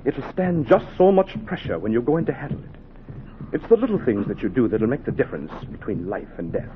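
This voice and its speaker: A man playing an intense, dedicated surgeon-mentor in an old radio drama. His medium-pitched voice swings widely, with emphatic, deliberate phrasing. The recording is narrow and plainly noisy, like an aged broadcast. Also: very animated, close to an everyday voice. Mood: intense, instructing. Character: intense, dedicated male pioneering heart surgeon teaching his assistant. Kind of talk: 1940s radio drama acting.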